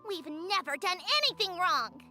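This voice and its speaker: A very high, lilting little girl creature from a video game. She is earnest, innocent and polite, always sounding hopeful and pleading. Music plays clearly behind her. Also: expressive, normal speed. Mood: indignant. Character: small earnest female Melusine creature, childlike and hopeful.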